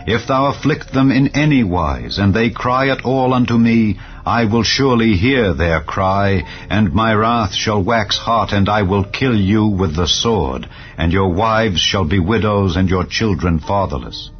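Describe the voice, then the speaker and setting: Man, scripture narration, low pitch, solemn and measured delivery, slightly muffled lo-fi recording. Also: expressive. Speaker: Bible narrator. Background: clear background music.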